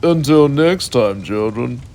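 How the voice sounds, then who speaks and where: A man's voice: mid-range voice, lively intonation, slow, a little mellow. A British man narrating a mock bedtime story in an avuncular, mock-cosy way.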